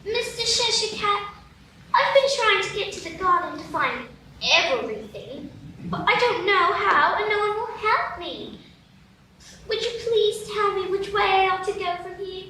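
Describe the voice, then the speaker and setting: A woman playing a polite, puzzled young girl with a very high, bright voice, asking earnest questions. The voice comes from a distance, off a live stage in a very echoey room. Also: expressive, unhurried, a little hiss or hum, heavily acted. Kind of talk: live radio play acting.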